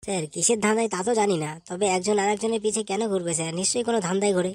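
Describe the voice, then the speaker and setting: A woman's voice: mid-range voice, steady tone, racing, a little mellow, a little hiss or hum, close to an everyday voice. Brief: female actor as cheeky cartoon pupil, eager and earnest, rattling off answers at top speed. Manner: reciting answers eagerly to teacher. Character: cheeky, earnest schoolchildren in a comedy classroom. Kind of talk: comic cartoon dialogue.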